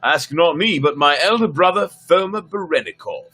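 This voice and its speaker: A man's voice: a little high, big pitch swings, moderate pace, bright, forceful tone, breathy edge, faint music behind, pitched above the performer's own voice, heavily acted. A man voicing an earnest, deferential heroic knight in a folk tale, speaking in formal, respectful address.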